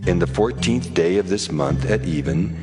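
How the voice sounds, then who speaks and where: A man's voice: low-pitched, animated, normal speed. A man playing God, grave and authoritative, in a dramatized scripture reading. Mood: grave, commanding. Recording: music under the voice.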